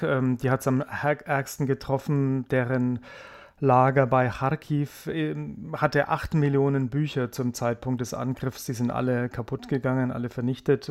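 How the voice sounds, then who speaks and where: Hesitant, explanatory male correspondent. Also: medium pitch. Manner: thoughtful, explanatory, with frequent hesitations. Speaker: foreign correspondent. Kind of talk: live radio interview answers.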